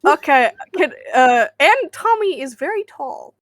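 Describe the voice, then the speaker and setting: A woman's voice: sits high, big pitch swings, measured pace, dry recording, studio quality. A woman playing a tabletop role-playing game, chatting casually about her character's actions and rolls.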